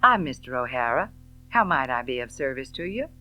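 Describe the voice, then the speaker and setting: A woman voicing a genial middle-aged male shopkeeper in an audiobook novel, effusive, chatty and full of flowery flattery. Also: rumbling low, a little fast, soft background music, heavily acted. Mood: polite.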